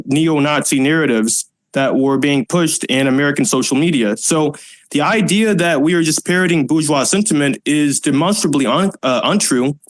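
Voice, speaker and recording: A man arguing loudly and combatively in an online debate, often talking over others. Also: on the high side, poor audio. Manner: loud, interrupting, combative. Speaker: political commentator debating callers.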